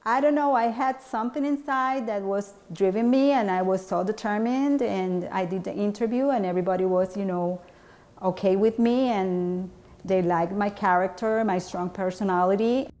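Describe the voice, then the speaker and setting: A Puerto Rican woman whose first language is Spanish answers interview questions earnestly, with a few fillers and pauses. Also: medium pitch, a little mellow. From Puerto Rico. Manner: earnest, determined, halting with fillers. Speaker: interviewee, hospital staff member. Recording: music bed underneath.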